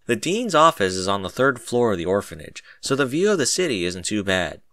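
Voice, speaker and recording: A man narrates a story in the first person with dramatic expression. His voice is fairly low and bright, with lively swings in pitch. The sound is dry and close. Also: brisk, no echo.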